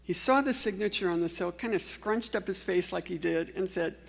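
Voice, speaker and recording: A woman's voice: fairly low pitch, a little fast, creaky. An older woman giving a prepared eulogy with personal anecdotes, speaking as a former student at a memorial. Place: large music hall, at a memorial event. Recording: reverberant hall sound.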